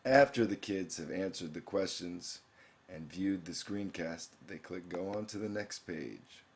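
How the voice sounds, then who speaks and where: Male teacher, young adult, very low voice; plain explanatory screencast narration. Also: unhurried, a little hiss or hum. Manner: plain explanatory, reading quiz questions aloud.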